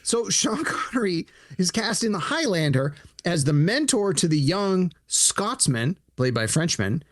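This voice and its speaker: Animated high-voiced man, lively chat. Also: unhurried, dry recording, studio quality. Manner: animated, acts out imagined dialogue. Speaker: podcast co-host. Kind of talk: casual chat.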